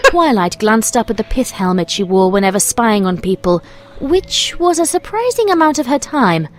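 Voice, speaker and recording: A woman's voice: on the high side, very animated, moderate pace, slight background noise. A woman reading scripted storybook narration as the narrator.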